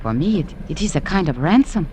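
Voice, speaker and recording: An actress playing a proud young Peruvian servant woman, earnest and halting in an old-time radio drama. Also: deep voice, lively intonation, dark tone, a little hiss or hum. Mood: desperate, pleading.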